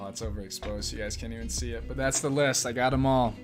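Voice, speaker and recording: Young male streamer, casual and easygoing, mid-pitch, faint music behind. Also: fairly even intonation, fast talker. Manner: laid-back, laughs often, swears casually. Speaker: livestream host reading chat. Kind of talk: casual live stream chat.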